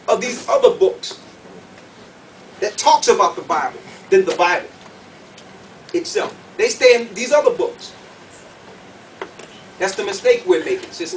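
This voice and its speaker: A man preaching to a group in an animated, colloquial way, emphatic and full of rhetorical questions. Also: high voice, far from the mic. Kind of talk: animated sermon with questions to the audience. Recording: noisy room, picked up from afar.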